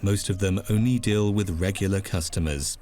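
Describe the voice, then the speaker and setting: A man narrating a documentary in a calm, measured, scripted way. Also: deep voice. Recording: music underneath.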